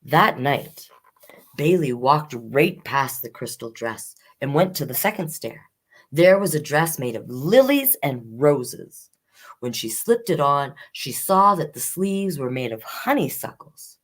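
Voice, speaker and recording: A woman hosting a children's storytime, reading picture books aloud expressively and adding chatty asides. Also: low-pitched. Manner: expressive, unhurried, comments on the story as she reads.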